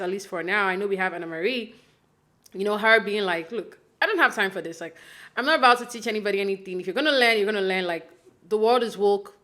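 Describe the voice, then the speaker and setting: A woman's voice: medium pitch, sharp tone. A young adult woman from Nigeria giving a casual, chatty recap of a reality show, full of fillers and restarts and acting out quotes.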